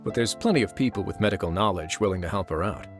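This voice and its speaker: A laid-back prison warden, played by a man with a lowish voice and a dry, teasing ease. Guitar music plays clearly behind him. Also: fast talker, lightly acted. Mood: easy. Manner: easygoing and dryly teasing. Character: relaxed, dryly amused male prison warden. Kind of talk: game cutscene voice acting.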